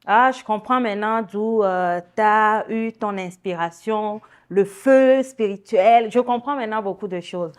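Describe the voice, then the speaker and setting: A woman in a mid-range voice hosts a casual interview. She asks questions in a friendly, chatty way. She often pauses with fillers as she puts her questions together. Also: mid-range voice. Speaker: show host.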